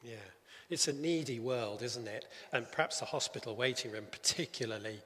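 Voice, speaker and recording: A man leading a church session, preaching and then chatting with a church member on stage, a little halting and teasing. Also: medium pitch, sharp tone. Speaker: church leader interviewing on stage. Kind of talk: sermon-style talk and interview. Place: church hall. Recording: reverberant church hall.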